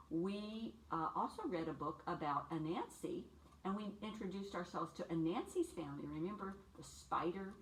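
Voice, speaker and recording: Woman in her sixties, children's read-aloud, expressive swooping pitch, simple deliberate phrasing, questions to kids, slightly distant mic with faint noise. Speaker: children's storytime teacher reading a picture book aloud.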